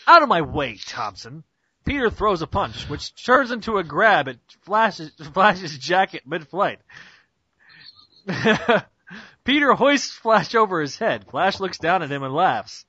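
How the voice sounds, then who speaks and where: A man's voice: sits high, big pitch swings, takes it slowly, sharp tone, husky, no room sound, lo-fi sound. A man narrating a comic script in a mock-dramatic manner for friends on an internet voice call. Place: Skype group call.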